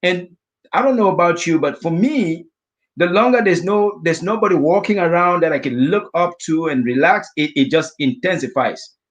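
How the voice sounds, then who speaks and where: A middle-aged man from Africa telling a vivid personal story as a guest speaker, acting out his own inner thoughts in lively asides. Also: sits high, normal speed. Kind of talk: storytelling talk.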